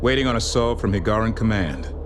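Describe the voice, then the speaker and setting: Man acting as clipped, low-voiced starship intelligence officer. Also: fairly quick, background noise, close to an everyday voice. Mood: businesslike. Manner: clipped, formal, military. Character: brisk, exacting male starship intelligence officer. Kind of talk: sci-fi game voice acting. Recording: music behind.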